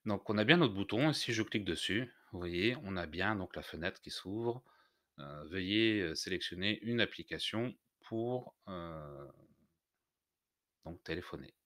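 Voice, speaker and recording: Man, low voice, tutorial host, hesitant step-by-step explanations, dry room-free sound. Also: lively intonation, a little slow, fairly bright tone, no echo. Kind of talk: unscripted software screencast tutorial narration.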